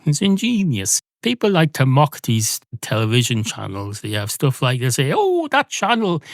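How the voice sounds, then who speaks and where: A man's voice: mid-range voice, no echo. Man rambling in a dry podcast monologue. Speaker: podcast host. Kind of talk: rambling comic solo monologue.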